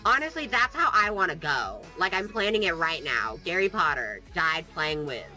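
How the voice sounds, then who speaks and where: A very bright, sniffly voice from a vlogger fighting a cold, talking into a desk mic. The chat is playful and sarcastic and wanders from one aside to the next. Faint music plays underneath. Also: mid-range voice, sing-song, moderate pace. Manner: playful, jokey, sarcastic, drifts off topic. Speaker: gaming YouTuber. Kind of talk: casual rambling vlog chat.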